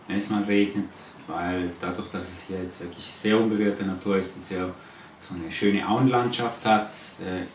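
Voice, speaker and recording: A man from Switzerland with a Swiss accent, answering informal interview questions in a halting way, searching for words. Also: lowish voice. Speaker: interviewee, eco-farm owner. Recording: echoey, distant, noisy ambient recording.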